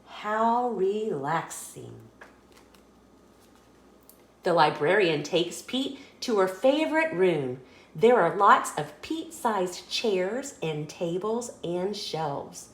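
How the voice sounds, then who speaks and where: A woman reading a children's picture book aloud as a story host, in an expressive, deliberate storytelling manner. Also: mid-range voice, reverberant.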